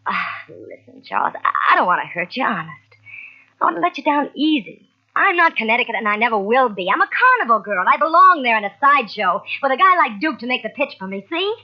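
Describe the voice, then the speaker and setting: A woman's voice: a little high, sing-song, brisk. An actress playing a hard-boiled, slangy carnival sideshow woman nearing forty in an old-time radio mystery. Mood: blunt, defiant. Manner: brassy, slangy, impatient. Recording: dull old radio recording from cassette.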